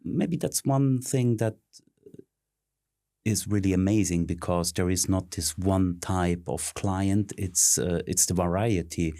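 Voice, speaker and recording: A middle-aged man with a very low voice talks through his career. He pauses often, filling gaps with ums and uhs. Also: warm tone. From Switzerland. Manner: halting, many ums and uhs. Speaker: interview guest, search consultant. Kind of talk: conversational interview.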